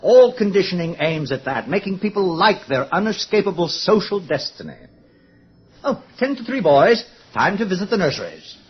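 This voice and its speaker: The recording is muffled like old radio. a male institute director with a notably high voice, didactic and smug, who gives orders precisely in a radio-play manner. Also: big pitch swings, moderate pace. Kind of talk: mid-century radio drama acting.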